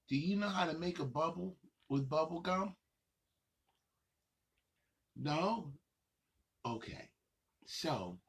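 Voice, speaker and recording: A man with a husky voice reads a picture book to children as a story time host. His pitch swings widely and playfully from phrase to phrase. He takes his time, stretching words out and chatting to the young listeners. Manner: drawn-out, playful phrasing addressed to kids. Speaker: host reading children's picture books aloud.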